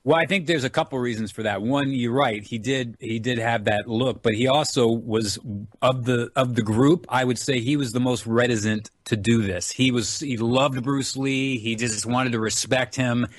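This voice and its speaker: Mid-pitched man, casual interview guest. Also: steady tone, moderate pace. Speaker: interview guest, filmmaker and author.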